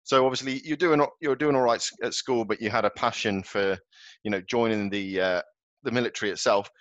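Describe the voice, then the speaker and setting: A man hosts a podcast in a fairly low voice, asking loose, rambling questions padded with fillers. The recording is dry and close with a dull, lo-fi tone. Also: fairly quick, no room sound. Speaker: podcast host. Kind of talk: casual interview chat.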